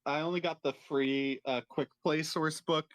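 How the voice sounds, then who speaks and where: A man's voice: fairly high pitch, fairly even intonation, normal speed, fairly bright tone, no echo. A man chatting informally as a co-host on a reaction stream, casually sweary in his talk. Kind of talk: casual chat, reacting to videos.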